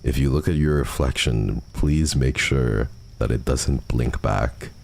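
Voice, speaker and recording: A man's voice: a bass voice, measured pace, vocal fry, a little mellow. A man hosting a livestream, chatting casually and answering viewer messages at a close microphone. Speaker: livestream host. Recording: faint background noise.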